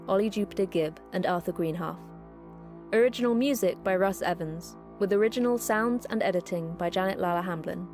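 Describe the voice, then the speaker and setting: A woman delivers scripted podcast credits as an announcer. Her voice sits a little low for a woman, with faint music underneath. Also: darkish tone.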